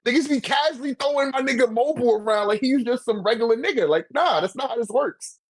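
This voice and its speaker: A man's voice: extremely high pitch, fast talker. A man arguing emphatically in casual, slangy talk, as in a debate between friends over manga.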